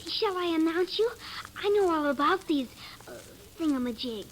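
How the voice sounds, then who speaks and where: A very high, lively little girl's voice, eager and inquisitive, proud of her grown-up words. It is vintage radio drama, with a light noise on the recording. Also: normal speed, low-quality recording. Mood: helpful, chatty. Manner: artless, earnest, proudly reciting grown-up words. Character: chatty, eager, inquisitive little girl, a scientist's daughter. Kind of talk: 1950s radio drama acting.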